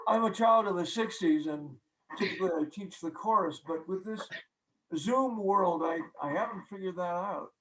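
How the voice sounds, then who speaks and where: A man's voice: sits high, normal speed, fairly bright tone, low-quality recording. An older man speaking conversationally as a guest, telling stories and explaining things over a video call. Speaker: guest author and singer-songwriter.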